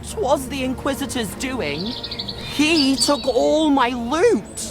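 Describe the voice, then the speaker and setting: An actress playing a defiant young street thief in a medieval video game. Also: female voice, sits high, animated, takes it slowly, over music, full character voice. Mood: sullen.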